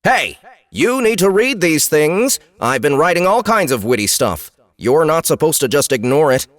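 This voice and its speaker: A man's voice: a little high, very animated, normal speed, fairly bright tone, dry recording, pitched above the performer's own voice. A man voicing a vain, affronted magical writer of game notices, exclaiming in a fantasy audiobook. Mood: indignant.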